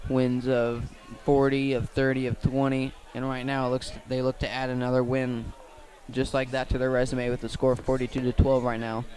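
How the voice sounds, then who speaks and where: A man with an American accent calls a football game live for radio. His pitch stays fairly flat as he follows the action. He is a little halting, repeating and correcting himself as he goes. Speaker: play-by-play commentator. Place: outdoors at a football field.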